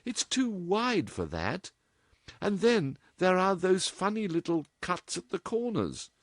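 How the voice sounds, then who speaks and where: A man's voice: high voice, big pitch swings, slow pace, dry recording, clean studio sound, pitched above the performer's own voice, exaggerated voice. A man reading aloud and voicing an impudent, teasing young woman who talks flippantly to her mother. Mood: playful. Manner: playful, cheeky, flippant.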